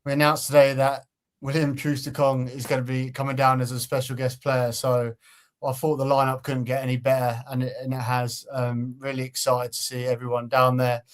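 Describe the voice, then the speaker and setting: A man co-hosting a football fan channel in easygoing casual chat, often pausing with um. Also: medium pitch, flat delivery. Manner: easygoing, fills pauses with um.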